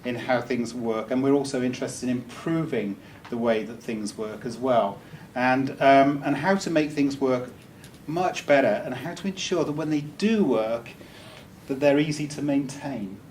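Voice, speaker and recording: Man, casual joking speaker, um-heavy, mid pitch; distant room mic. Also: normal speed, a little mellow, slight background noise. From Wales. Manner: chatty, joking, with many ums and restarts. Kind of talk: informal talk to a live audience. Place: meeting room.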